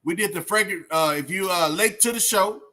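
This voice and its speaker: A man's voice: high-pitched, a little fast, pushed and bright. A man from Texas, hosting a casual livestream in streetwise slang and giving opinions straight to viewers. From Texas, United States. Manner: streetwise slangy talk with frequent "man" and "bro". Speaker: host of a reaction livestream.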